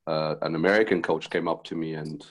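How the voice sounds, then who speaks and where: A man's voice: rumbling low, steady tone, brisk. A middle-aged man from Zimbabwe, a basketball head coach, telling his story as a podcast guest, with many fillers and restarts. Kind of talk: casual interview chat.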